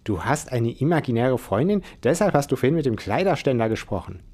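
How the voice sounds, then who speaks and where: A blunt, stubborn young girl in a storybook, firm and unimpressed, as a man reads her lines. She stays close to the narrator's own mellow voice. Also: mid-range voice, big pitch swings, brisk.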